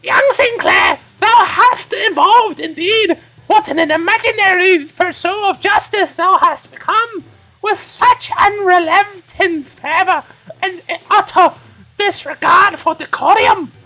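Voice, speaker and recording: A man voicing a zealous, gremlin-like female knight for a game, declaiming pompously in archaic English with a shrill voice. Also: slow, bright, forceful tone, full character voice, low-quality recording. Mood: proud.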